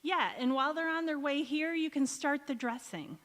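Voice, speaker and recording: A folksy, bossy best pal from a vintage radio sitcom acted by a woman, with a high voice. She coaxes and prods theatrically, and her words ring through a big hall. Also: moderate pace, a bit bright. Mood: encouraging. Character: brisk, supportive best-friend housewife, wry and folksy. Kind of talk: golden-age radio sitcom acting on stage. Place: large indoor hall, live stage performance. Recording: echoey hall, community theatre stage.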